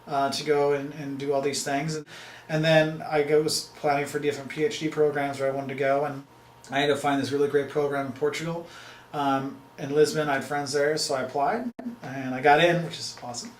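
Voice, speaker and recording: Casual rambling male professor and travel host, fairly high voice, echoey room. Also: little pitch movement, brisk, a little hiss or hum. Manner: rambling, chatty, full of fillers and restarts. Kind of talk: casual talk to camera.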